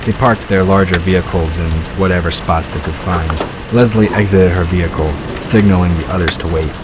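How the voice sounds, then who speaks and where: Very deep-voiced man narrating a story. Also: steady tone, fairly quick, loud music behind the voice. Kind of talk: scripted audiobook-style narration. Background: rain and vehicle sound effects.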